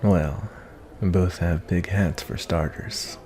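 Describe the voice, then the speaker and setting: Casting: male actor for a kindly cowboy bounty hunter, very low and mellow, folksy and reflective, soft ASMR delivery for a fantasy tavern roleplay. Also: steady tone, slow pace. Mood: curious, friendly. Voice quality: low, gravelly. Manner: folksy, rambling, kindly drawl with cowboy turns of phrase. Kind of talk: ASMR roleplay, softly spoken to the listener.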